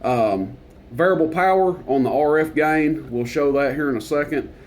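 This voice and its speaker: Loud-voiced man demoing a CB radio. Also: a little high, moderate pace, a little hiss or hum. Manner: relaxed, rambling, many fillers. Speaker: CB radio reviewer. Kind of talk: casual product demonstration.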